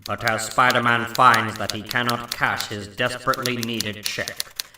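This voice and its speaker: A man's voice: medium pitch, level tone, moderate pace, distant mic, lightly acted. A man playing a portentous comic-book narrator, delivering scripted drama. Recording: roomy echo with faint noise.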